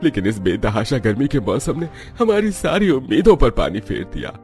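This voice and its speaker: A poor, aggrieved villager acted by a man from a cartoon moral story. His intonation swings widely at a middle pitch, with plain rustic phrasing. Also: sing-song, moderate pace, a little mellow, faint music behind. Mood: despairing, bitter. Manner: complaining, plain village speech. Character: aggrieved, poor male village farmers and labourers.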